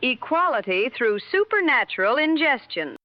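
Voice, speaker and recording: A woman playing a sensible, deadpan co-host on a 1960s radio show with a dull vintage sound. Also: high-pitched, moderate pace, dry recording. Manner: dry, deadpan.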